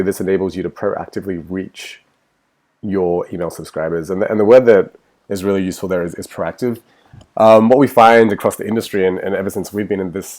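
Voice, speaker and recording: A man's voice: deep voice, a little mellow. An Australian man with a cold, presenting a marketing webinar over slides in a casual way, with lots of ums and restarts. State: has a cold, sniffling.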